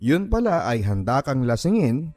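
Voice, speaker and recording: A man's voice: mid-range voice, big pitch swings, moderate pace, darkish tone. Filipino man storytelling over background music. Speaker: host and narrator of a story show. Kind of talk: dramatic reading of listener letters, radio storytelling.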